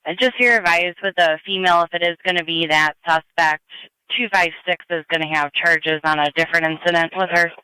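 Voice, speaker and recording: A man's voice: fairly high pitch, steady tone, fairly quick, bright, forceful tone, clean tone, no room sound, poor audio. A man working as a police dispatcher, giving clipped, businesslike procedural calls over two-way radio. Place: heard over a live scanner stream.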